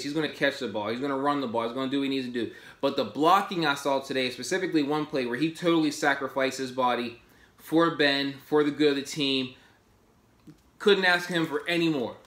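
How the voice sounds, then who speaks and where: A man's voice: medium pitch, reverberant. A man in his thirties talking casually and enthusiastically as a fan reviewing a game, filmed at his desk in a small home office. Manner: enthusiastic, animated, gestures while talking. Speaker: sports podcast host.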